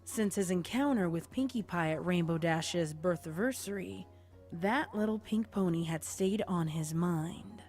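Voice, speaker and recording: A woman's voice: lowish voice, a little slow, darkish tone. Woman narrating a dramatic story over music. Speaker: fan fiction narrator.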